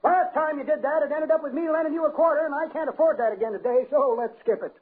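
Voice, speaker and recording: Very high-voiced folksy old-timer. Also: male voice, quick pace. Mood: cheerful. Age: older. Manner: rambling, folksy, eager. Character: chatty old-timer, rambling folksy teller of tall tales. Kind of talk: 1950s radio comedy acting. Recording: muffled narrow-band 1950s radio recording.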